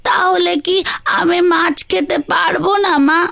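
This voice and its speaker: A woman's voice: extremely high pitch, flat delivery, measured pace, gravelly, fairly bright tone, full character voice. A woman voicing a wistful little village girl, a poor farmer's daughter, in a plaintive, pleading manner for a children's cartoon.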